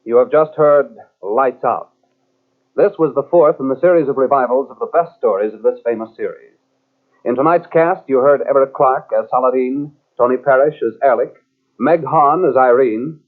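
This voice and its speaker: Vintage radio announcer, male, mid-pitched, scripted delivery, heavily band-limited old broadcast sound. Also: phone-quality sound.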